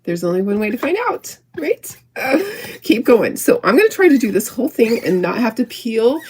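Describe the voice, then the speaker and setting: A middle-aged woman with a squeaky voice, recovering from illness, demonstrating a craft project in a rambling, giggly, chatty way. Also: big pitch swings, normal speed, darkish tone, breathy edge. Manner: rambling, self-interrupting, giggly. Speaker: craft show host.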